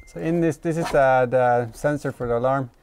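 A man in his thirties giving casual, halting hands-on commentary as a vlog host, thinking aloud while he works. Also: mid-range voice, noisy background. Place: inside a car in a workshop.

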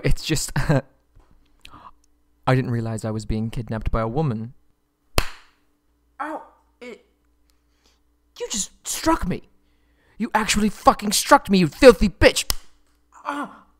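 A man's voice: medium pitch, dry recording. A man playing a haughty but frightened young kidnapped prince in an ASMR roleplay audio drama, stammering and pleading, close on the mic. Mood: timid, frightened, then outraged, cursing.

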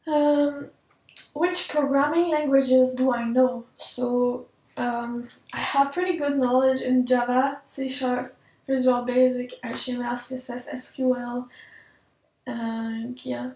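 A young French-Canadian woman with a high, fairly level voice talks through a casual vlog Q&A in her second language, earnest and a little hesitant, often pausing on "um" as she searches for words. She sits at her home office desk, a bit back from the camera mic, and the room sounds echoey. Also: flat delivery, a little mellow, recorded from a distance. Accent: Quebec French. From Quebec, Canada. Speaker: tech vlogger. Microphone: camera mic at desk distance.

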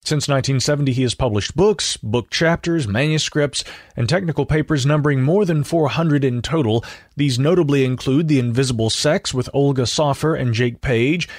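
A male host reads a formal introduction at a measured pace. His voice sits at a middle pitch, and the sound is close and dry. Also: no room sound. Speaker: podcast host.

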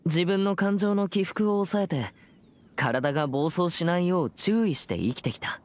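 A man playing a serious, reserved teenage boy exorcist in a Japanese anime game, speaking formally and in a measured way. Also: sits high, animated, a little hiss or hum. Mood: reflective, earnest.

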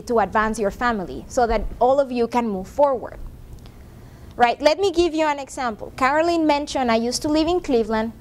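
A woman in her forties presenting a professional development workshop to a seated class, talking conversationally and often checking in with "right?". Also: high voice. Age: 40s. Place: classroom with a seated audience, projector screen. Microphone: far room camera.

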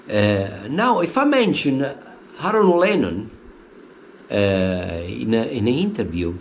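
A middle-aged man with an Italian accent talks slowly and haltingly, filling pauses with "uh" while his pitch rises and drops. His voice sits fairly low. It is picked up by a webcam in a living room with a faint hum behind him. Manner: hesitant, many uh fillers, animated pitch swings. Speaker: football fan vlogger. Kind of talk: opinionated casual commentary. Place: living room at home, indoors.

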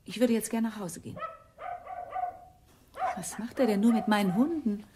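A woman's voice: medium pitch, normal speed, background noise, close to an everyday voice. An actress playing an uneasy female witness who is keen to leave, in a German radio crime drama. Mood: uneasy, hushed. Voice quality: breathy, husky.

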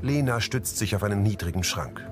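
A man's voice: fairly low pitch, lively intonation, brisk. A man reading scripted audio description of the action in a drama. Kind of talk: scripted narration of screen action. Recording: music behind the voice.

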